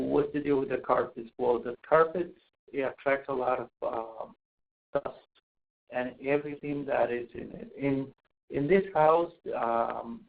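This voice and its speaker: A man's voice: medium pitch, gravelly. Man from Costa Rica, hesitant, tinny line. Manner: hesitant, many fillers and restarts. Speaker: asthma program home visitor. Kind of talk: webinar presentation. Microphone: webinar call mic. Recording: tinny phone-like webinar audio.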